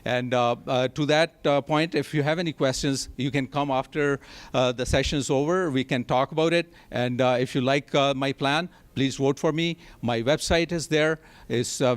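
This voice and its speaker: A man making closing remarks as a city council candidate, speaking haltingly with many hesitations. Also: mid-range voice, clean tone. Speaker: election candidate.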